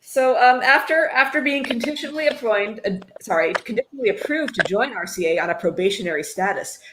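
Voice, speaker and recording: A woman's voice: a little high, lively intonation, brisk. Sarcastic woman in an echoey room. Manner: sarcastic, mock-dramatic. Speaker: host reading a book aloud on a fundraising stream. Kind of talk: casual reading aloud with joking commentary. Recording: roomy echo, faint noise.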